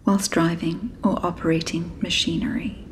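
A woman narrating a guided sleep meditation in a soft, breathy, whispery, soothing manner. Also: lowish voice, little pitch movement, moderate pace, a little hiss or hum, poor audio.

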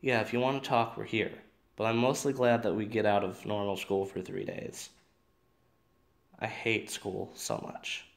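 Matt, man playing creaky-voiced wry teenage boy. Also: lowish voice, fairly even intonation, unhurried. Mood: wry, grumbling. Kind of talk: audio drama dialogue.

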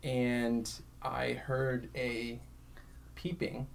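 A soft-voiced man chatting casually as a guest on a podcast. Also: mid-range voice, monotone, very slow, creaky, slight background noise. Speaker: podcast guest, campus ministry worker. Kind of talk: casual chat.

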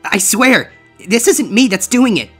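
A man's voice: sits high, very animated, measured pace, sharp tone, husky, faint music behind, voice pushed up, exaggerated voice. A man voicing a brash, skeptical young male griffin with casual, exclamatory teenage speech in a storybook reading. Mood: panicked. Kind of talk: character voice in audiobook-style fan fiction reading.

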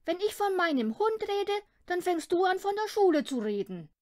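Storybook little boy, played by a woman: high, excitable, stubborn, emphatic, longing and eager. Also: moderate pace. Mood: grumpy, defiant.

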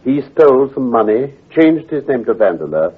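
An actor playing an assured, precise gentleman detective who explains with authority in a radio drama. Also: medium pitch, big pitch swings, moderate pace, husky. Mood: assured, explanatory. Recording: tinny, hazy old radio recording.

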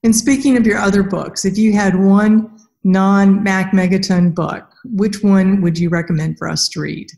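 A woman's voice: mid-range voice, fairly even intonation, normal speed, vocal fry, mellow. A middle-aged woman hosting a casual interview on a video call, talking conversationally. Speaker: podcast host interviewing an author. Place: indoors against a plain wall, video call.

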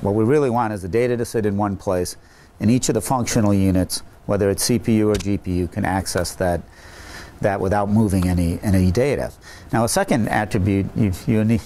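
A man's voice: fairly low pitch, darkish tone, a little hiss or hum. A middle-aged man earnestly explaining technical ideas to camera, often restarting and repeating words, on a lapel mic in a meeting room. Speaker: chip company executive.

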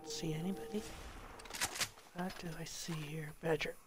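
A game streamer giving casual live commentary, laughing often and correcting themselves, with a voice that is going hoarse. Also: mid-range voice, steady tone, moderate pace, very noisy.

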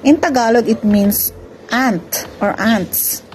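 A young woman from the Philippines, a first-year student, slowly reading a class report. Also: fairly high pitch, a little hiss or hum, rough recording. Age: young adult. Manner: slow, careful reading. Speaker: student presenter.

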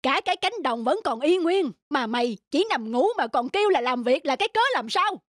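A woman's voice: sits high, lively intonation, brisk, dry recording, studio quality. Woman as a preachy, stingy old landowner. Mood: scolding. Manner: lecturing, scolding. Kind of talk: cartoon folk-tale voice acting. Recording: music behind the voice.

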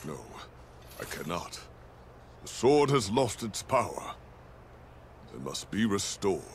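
An actor playing a stern, grave older knight in a video game, speaking in a formal, measured way. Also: male voice, fairly low pitch, very animated, a little hiss or hum, heavily acted. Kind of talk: dubbed game dialogue.

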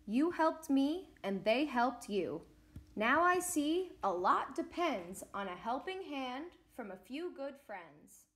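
A woman voicing a self-important, hurried big dump truck from a children's storybook, with a brusque, pompous manner. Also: high-pitched, very animated, fairly bright tone, full character voice. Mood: grateful, humbled.

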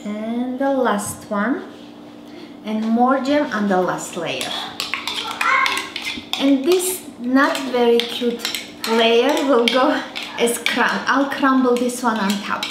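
Armenian lady doing a cooking show, slow easy talk, bit high voice, echoey kitchen with dishes clinking. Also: very noisy. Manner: relaxed, chatty, teasing asides to her child. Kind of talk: home cooking demonstration. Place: large home kitchen. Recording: roomy kitchen with dish and cutlery clatter. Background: clinking dishes and utensils.

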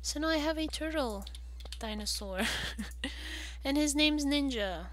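A woman's voice: sits high, lively intonation, measured pace, fairly bright tone. A woman giving casual game walkthrough commentary, thinking aloud in a hesitant way. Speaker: gaming video host.

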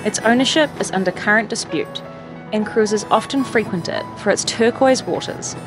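A woman's voice: mid-range voice, moderate pace. A woman in her thirties giving casual narration for a sailing vlog. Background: music and boat sounds.

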